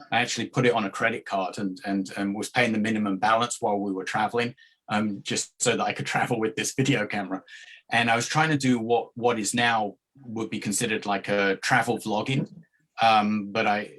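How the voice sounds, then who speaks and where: Guest, male, mixed accent that drifts around, low-ish voice, halting podcast chat. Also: fairly bright tone, poor audio. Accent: mixed, hard to place. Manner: hesitant, rambling storyteller with many restarts. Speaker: guest, travel video maker. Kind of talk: casual podcast interview.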